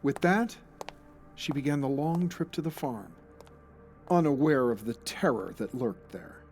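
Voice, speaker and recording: An actor playing a grave storyteller, narrating a friend's dark case in a scripted audio drama. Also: male voice, medium pitch, lively intonation, a little slow, creaky, soft background music. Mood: grave, ominous.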